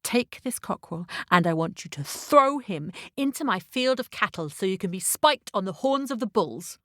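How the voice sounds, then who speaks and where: A woman's voice: medium pitch, lively intonation, moderate pace, a bit bright, no echo, studio quality, lightly acted. A woman voicing a haughty, easily angered rich man who gives orders in a children's story. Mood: livid, commanding. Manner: imperious, commanding.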